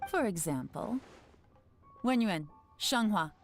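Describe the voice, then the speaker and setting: A woman's voice: mid-range voice, animated, slow, faint music behind. An actress playing a shrewd, composed woman spymaster in a video game, cool and assured in manner. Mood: cool, instructive. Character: composed, shrewd, teasing female spymaster, adult. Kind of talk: video game character acting.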